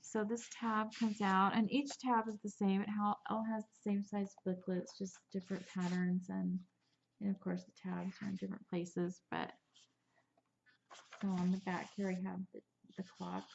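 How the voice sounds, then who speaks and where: A woman rambles cheerfully through her handmade album, restarting sentences and slipping in small laughs. Her voice has a gravelly edge and stays on a fairly flat, even line. She is a bit sniffly from allergies, and the recording sounds dull and muffled. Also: medium pitch, moderate pace, slight background noise. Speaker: crafter showing a handmade album. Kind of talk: casual craft show-and-tell.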